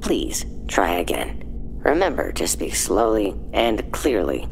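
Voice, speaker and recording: A woman's voice: very deep voice, big pitch swings, slow pace, gravelly, slight background noise, heavily acted. A woman voicing an outdated, impassive shipboard computer giving prompts in an audio roleplay. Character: dim, outdated female shipboard computer, slow and flat.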